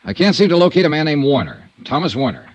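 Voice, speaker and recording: An actor playing a tough, wry insurance investigator in a 1950s radio drama, terse and persistent. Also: male voice, fairly high pitch, very animated, fast talker, no room sound, lo-fi sound. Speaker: investigator and narrator.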